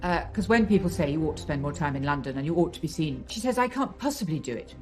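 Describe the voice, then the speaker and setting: Woman, slightly low pitch, conversational history commentary, faint music behind. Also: expressive, fast talker. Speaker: documentary interviewee.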